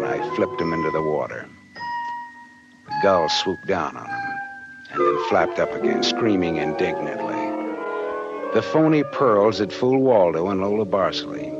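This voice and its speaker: An actor playing a hard-boiled, wry but decent American private detective in a 1940s radio drama, terse and slangy. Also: male voice, deep voice, full character voice. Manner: terse, wry, slangy tough-guy delivery. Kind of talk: 1940s radio noir acting and narration. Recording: dull vintage 1940s radio recording, organ-like music behind.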